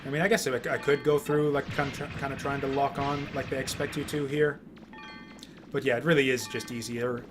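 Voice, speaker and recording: A man giving casual, rambling video game commentary, often halting and repeating words as he goes. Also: medium pitch, little pitch movement, over music. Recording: clear background noise.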